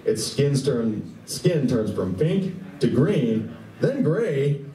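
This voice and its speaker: A man's voice: medium pitch, very animated, slow, mellow, distant mic. A man giving dramatic scripted narration for a live radio play. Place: live stage reading at a convention. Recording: echoey room, picked up from afar.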